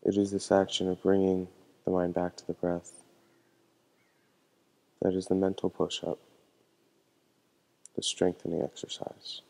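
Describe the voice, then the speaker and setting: A man with a low voice guides a meditation in calm, measured instructions. His tone is soft-edged and mellow, and his pitch stays very flat and even. He speaks gently, as a meditation teacher does. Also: creaky, rough recording.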